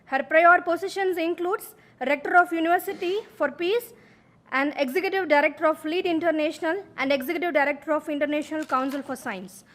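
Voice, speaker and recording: A woman with a high, light voice opens the event by introducing the guest speaker. She reads the introduction in a formal, respectful way. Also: brisk, a bit bright. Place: lecture hall.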